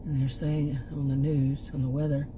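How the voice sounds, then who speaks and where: A woman's voice: rumbling low, level tone, moderate pace. Rambling woman losing her voice. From Texas. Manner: rambling, self-interrupting, chuckling asides. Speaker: vlogger. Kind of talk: casual storytelling vlog. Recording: loud traffic noise outside.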